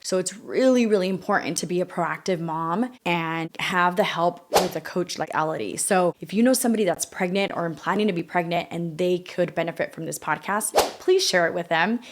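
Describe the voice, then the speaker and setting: An eager woman hosts a podcast, her voice sitting a little on the low side. She strings her thoughts together in long, excited run-on sentences as she introduces her guest. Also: normal speed, few pauses, noisy background. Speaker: podcast host. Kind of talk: podcast interview, enthusiastic intro.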